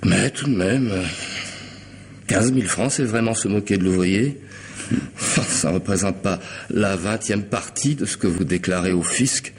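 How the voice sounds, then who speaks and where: Sardonic, very deep-voiced gravelly hired killer. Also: male voice. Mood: cajoling, sinister. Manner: mocking, unhurried, falsely polite. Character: sardonic, insinuating male hired killer, half-mad and courteous. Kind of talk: French radio mystery drama acting.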